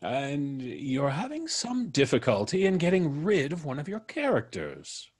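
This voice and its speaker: An actor playing a mysterious, suave intruder in an old time radio mystery, polite, deliberate and mocking, with a slightly husky voice. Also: male voice, fairly high pitch, huge pitch swings, a little mellow, exaggerated voice. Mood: mock pleading, teasing. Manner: polite, deliberate, teasing, drawn-out delivery.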